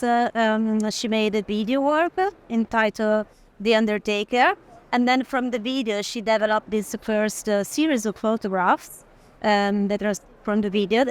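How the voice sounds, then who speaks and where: A woman giving an informal explanation of an artwork, step by step, with some hesitation. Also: fairly high pitch. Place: art fair.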